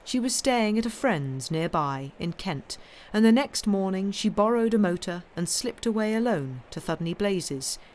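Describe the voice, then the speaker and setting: A woman with a slightly croaky voice who hosts a live stream and reads ghost stories aloud. She chats in a rambling way and corrects herself before she settles into the reading. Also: medium pitch, lively intonation, moderate pace, hardly any pauses, a little mellow. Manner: rambling, stumbling, self-correcting chatter. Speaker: storyteller and live-stream host. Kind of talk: casual chat then reading a ghost story aloud.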